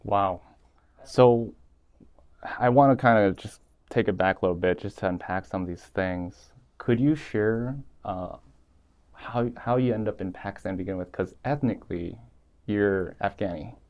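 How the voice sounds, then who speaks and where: A man's voice: on the low side, normal speed, darkish tone, no room sound. A young man hosting a podcast in a small studio room, reading a formal introduction and asking questions in an earnest way. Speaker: podcast host. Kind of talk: scripted intro then interview questions. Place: small dim studio room, seated in armchair.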